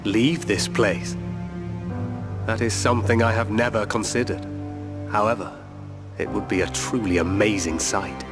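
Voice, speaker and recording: An actor playing a grave, sorrowful and vengeful war leader in a video game cutscene, with a solemn, formal, deliberate delivery. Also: male voice, on the low side, fairly even intonation, music in the background, close to an everyday voice. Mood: reflective, wondering.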